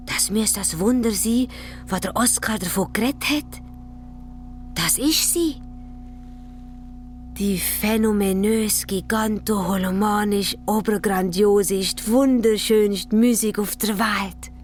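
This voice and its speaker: A woman acting an awestruck fairy-tale character in a children's radio play, with reverent, drawn-out delivery. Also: medium pitch, soft background music, close to an everyday voice. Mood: awed.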